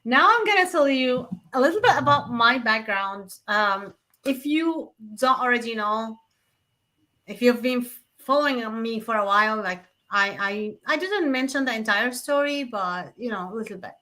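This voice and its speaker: Bright, high-voiced middle-aged woman, Syrian accent. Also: expressive, moderate pace, poor audio. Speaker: host, nonprofit consultant. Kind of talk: casual personal storytelling.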